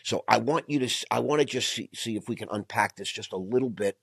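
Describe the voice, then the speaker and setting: A man's voice: medium pitch, fast, creaky, fairly bright tone, breathy edge, dry recording, studio quality. A man hosting a podcast interview, enthusiastic and effusive, often repeating words as he talks. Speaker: podcast host. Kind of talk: conversational interview.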